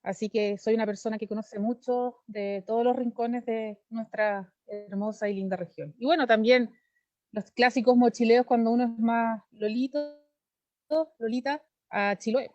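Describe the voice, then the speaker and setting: A woman's voice: fairly high pitch, fairly even intonation, normal speed, darkish tone, no room sound, lo-fi sound. A Chilean woman in her thirties, a lawyer and candidate, giving long, earnest answers in an interview over a video call. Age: 30s. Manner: earnest, fluent, gives long detailed answers.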